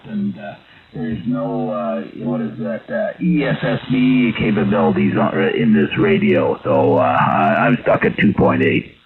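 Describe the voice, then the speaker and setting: A man chatting casually as an amateur radio operator, speaking haltingly with drawn-out pauses, heard over a radio link. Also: fairly low pitch. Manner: halting, hesitant delivery with many drawn-out pauses. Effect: received over shortwave radio. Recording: narrow-band radio signal, faint static.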